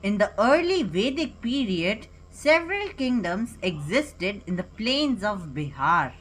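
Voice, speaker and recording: A woman's voice: mid-range voice, very animated, measured pace, rough recording. A woman with an Indian accent presenting a puppet show as a guide, reading scripted educational narration. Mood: earnest, informative. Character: puppet guide named Alak presenting Bihar. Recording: music behind the voice.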